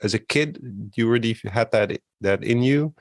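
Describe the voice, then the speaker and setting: A man's voice: mid-range voice, moderate pace, vocal fry, no echo. A man hosting a casual interview, asking rambling questions with many restarts and offering encouraging "yeah" and "mm-hmm" as he listens. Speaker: interviewer, host.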